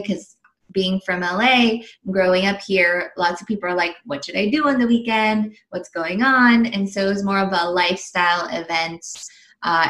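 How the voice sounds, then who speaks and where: A woman's voice: on the low side, fairly bright tone, poor audio. A woman in her thirties, raised in Los Angeles, chatting easily in an interview with frequent ums and little laughs. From Philippines, raised in Los Angeles. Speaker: guest, online entrepreneur. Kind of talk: casual interview answers.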